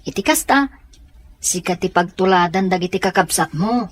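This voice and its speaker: A woman's voice: on the low side, lively intonation, normal speed. A woman with a Filipino Ilocano accent playing a kind, encouraging adviser to a student in an audio drama.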